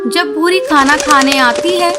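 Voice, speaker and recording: A woman's voice: high voice, a little slow, very noisy. A woman narrating a scripted cartoon story as its storyteller. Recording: music behind the narration.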